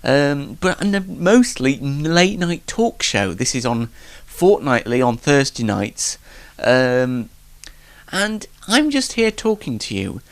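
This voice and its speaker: Male host, mid pitch but very animated, congested, rambling and self-mocking, late night chat, dry sound. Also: slow, creaky, no room sound. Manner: self-deprecating, jokey, rambling. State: congested from hay fever. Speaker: talk show host. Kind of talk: casual solo late night talk show chat.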